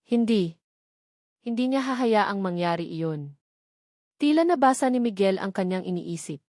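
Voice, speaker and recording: A woman's voice: medium pitch, animated, measured pace, dark tone, no echo, clean studio sound. A woman reading a scripted, dramatic love story as its narrator.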